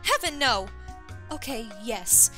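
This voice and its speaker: A woman's voice: on the high side, big pitch swings, slow pace, bright, forceful tone, soft background music, full character voice. A woman voicing a cheeky young female ally in an anime-style game dub, playfully sarcastic. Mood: teasing, encouraging.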